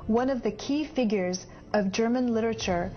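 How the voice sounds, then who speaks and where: A woman's voice: a little high, moderate pace, slight background noise. A woman delivering scripted documentary narration as a narrator. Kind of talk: scripted narration introducing quotations. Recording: dull lo-fi audio. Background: faint music.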